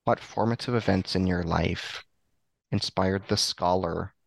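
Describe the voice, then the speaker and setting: A man hosting a book podcast, introducing his guest formally and asking measured questions. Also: low-pitched, creaky, no echo. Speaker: podcast host.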